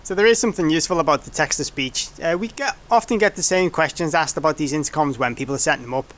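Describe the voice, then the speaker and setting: Man presenting a casual product demo, voice a little high, hesitant and self-correcting delivery. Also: quick pace, a bit bright. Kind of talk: informal technical walkthrough.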